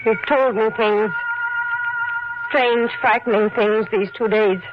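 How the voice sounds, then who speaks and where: An actress playing an anxious, earnest wife in a 1940s radio mystery, speaking in a measured, dramatic way. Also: female voice, fairly high pitch, fairly even intonation. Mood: grave, accusing. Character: anxious, pleading wife who grows grave and accusing. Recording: dull old radio recording with organ music.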